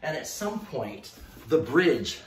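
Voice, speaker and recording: Middle aged guy doing a painting tutorial, real echoey room, kinda far from the mic, thinks out loud. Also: on the high side. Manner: relaxed, halting, thinking aloud while working. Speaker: mural painter presenting a tutorial. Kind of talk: casual painting demonstration. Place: indoor room, painting at a wall. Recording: echoey room, distant mic, faint noise.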